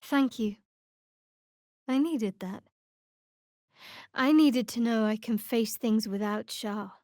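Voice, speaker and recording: A woman's voice: fairly high pitch, slow pace, darkish tone, no room sound. A woman playing a guarded, sardonic young female cleric in a video game, with a dry, aloof, clipped delivery. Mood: wistful, troubled. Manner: dry, aloof, clipped sarcasm with earnest undertones. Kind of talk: video game character voice acting.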